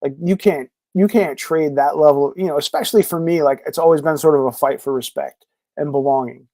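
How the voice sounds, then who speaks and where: A man's voice: on the high side, a little fast, dark tone, no room sound. A man being interviewed as a podcast guest, talking casually and rambling with lots of fillers. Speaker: podcast guest, comic creator.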